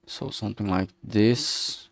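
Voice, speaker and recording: A man streaming as he works, thinking aloud casually in a halting way and muttering to himself. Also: lowish voice, gravelly. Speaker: streamer making a visual novel. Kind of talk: casual think-aloud art livestream commentary.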